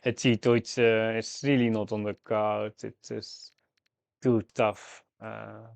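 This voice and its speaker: A man live-streaming a game, thinking aloud through his moves in a halting, fragmented way. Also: lowish voice, flat delivery, gravelly, no room sound. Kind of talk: live mahjong gameplay commentary, thinking aloud.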